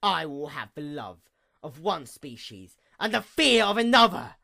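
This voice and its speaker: A woman voicing a proud, wounded pony goddess of night in a theatrical villain monologue, menacing and grandiose with a gravelly creak. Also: sits low, very animated, bright, forceful tone, full character voice. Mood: cold disdain. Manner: theatrical, menacing, grandiose, with slow drawn-out emphasis. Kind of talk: dramatic villain monologue, fan fiction reading.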